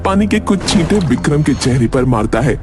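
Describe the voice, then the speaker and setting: A man narrates a scripted cartoon story. His voice is fairly high and animated in pitch, with music plainly audible underneath. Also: fairly quick.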